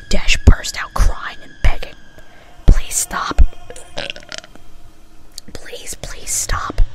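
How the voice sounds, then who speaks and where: A woman's voice: very high voice, low-quality recording. A woman reading a story aloud in whispered ASMR style, sometimes stumbling and muttering to herself. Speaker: amateur story reader.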